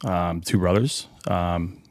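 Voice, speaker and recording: A man's voice: low voice, steady tone, slow, no room sound, clean studio sound. A Canadian man from Ontario, a podcast guest telling stories in a laid-back, self-deprecating way. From Paris, Ontario, Canada. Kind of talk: casual storytelling. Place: studio.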